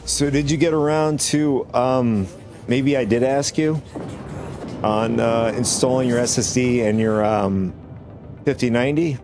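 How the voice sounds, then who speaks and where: A man with a raspy voice from a cold, chatting casually with viewers while gaming on a stream, rambling with many restarts. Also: mid-range voice, unhurried, a little mellow, faint music behind.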